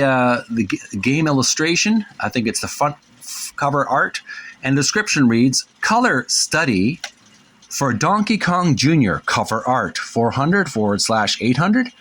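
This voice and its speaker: A man's voice: medium pitch, a bit bright. Rambling, folksy man hosting casually. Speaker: host of a retro gaming channel. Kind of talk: casual show-and-tell commentary, reading book captions aloud.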